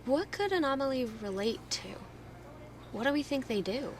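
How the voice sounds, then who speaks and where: A woman's voice: sits high, sing-song, moderate pace, noisy background. A woman playing a friendly, inquisitive amateur investigator in natural audio drama dialogue. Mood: curious, friendly. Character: Cam, friendly, inquisitive female fellow investigator.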